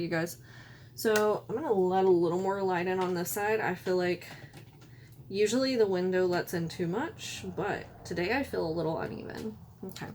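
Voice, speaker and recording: A woman talks through her makeup in a chatty, wandering way, often correcting herself mid-thought. Her voice is hoarse and worn out, sitting at an ordinary middle pitch. A faint hum runs underneath her. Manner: chatty, rambling, self-correcting. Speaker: beauty vlogger. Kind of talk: casual makeup tutorial. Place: room at home by a window.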